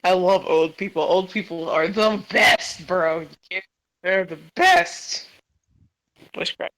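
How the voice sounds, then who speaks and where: A young woman hosting a casual podcast while gaming, with a loud voice, playful swearing and trash talk. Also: fairly low pitch, slow, low-quality recording. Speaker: podcast host.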